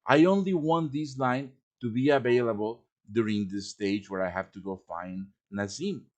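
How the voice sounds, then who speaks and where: A man hosts a live tutorial in a casual, improvised way. He has a mid-pitched voice and talks informally, thinking aloud as he works through the steps. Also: moderate pace. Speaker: tutorial host. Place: live stream over voice chat.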